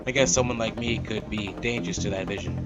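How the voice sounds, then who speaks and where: A man's voice: lowish voice, fast. Man narrating a game over music. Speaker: let's play host. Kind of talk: reading game narration aloud with casual asides.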